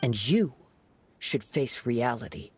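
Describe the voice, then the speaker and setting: A man playing a calm, principled young wandering samurai in a video game, with composed, measured and formal poetic delivery. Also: mid-range voice, slightly rough, full character voice. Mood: firm, challenging.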